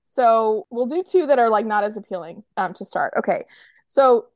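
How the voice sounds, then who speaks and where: American female co-host, higher register, dry sound, effusive fan chatter. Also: expressive, normal speed, dry recording. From United States. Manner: gushing, effusive. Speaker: podcast co-host leading a game. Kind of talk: casual fan chat.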